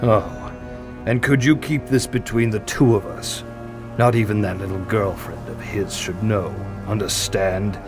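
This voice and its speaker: Ancient, commanding assassin god, male actor, audio drama: lowish pitch, unhurried and measured, ominous, music behind. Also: natural voice. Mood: secretive, commanding. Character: wrinkled, grizzled old killer god, calculating and commanding.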